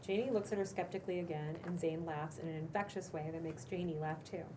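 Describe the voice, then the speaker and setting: A woman's voice: low-pitched, fairly even intonation, fast talker. A woman narrating the stage directions of a script at a cold table read. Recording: distant mic, clearly noisy room.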